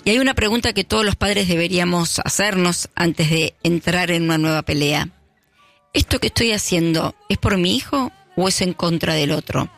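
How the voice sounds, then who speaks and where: An Argentine man speaks as a radio host, his voice set high and gravelly with fry. He delivers a reflective monologue in measured lines, pausing for emphasis. Soft music runs faintly beneath him on the broadcast.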